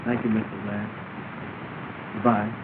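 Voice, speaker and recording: An actor playing an earnest, courteous, downcast young suitor in an early talkie drama. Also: male voice, on the low side, level tone, unhurried, natural voice. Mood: resigned, polite. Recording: tinny, noisy 1930 film soundtrack.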